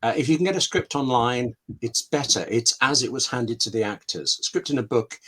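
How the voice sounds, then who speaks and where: Male, medium pitch, conversational interviewee, discursive storyteller who poses and answers his own questions. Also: animated, fairly quick, a bit bright, husky. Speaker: scriptwriter guest answering questions. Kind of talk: conversational webinar answers with anecdotes. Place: home room with books, on a video call.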